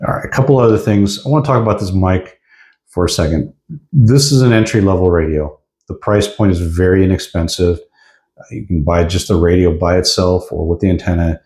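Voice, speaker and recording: A man's voice: low voice, a little fast, darkish tone. A man giving a casual hands-on product review in an informal, explanatory way.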